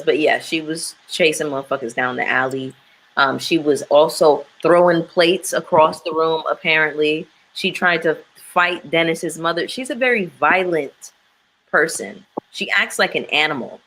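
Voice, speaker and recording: A woman's voice: low voice, moderate pace, a bit bright, a little hiss or hum, rough recording. A woman chatting frankly and with strong opinions about a reality show, with many fillers. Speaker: panelist.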